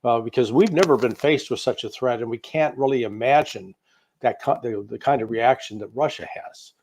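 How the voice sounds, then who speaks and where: A man's voice: mid-range voice, moderate pace, darkish tone. An American man speaking as a guest commentator in a political interview, opinionated and emphatic, piling up points in lists. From United States. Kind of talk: spoken political analysis in an interview.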